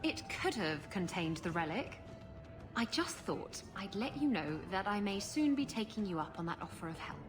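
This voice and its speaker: Woman playing a lively roguish treasure huntress. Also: mid-range voice, normal speed, lightly acted. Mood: defensive. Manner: animated, casual, breezy. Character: roguish, confident female treasure hunter. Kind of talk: video game voice acting. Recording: music behind.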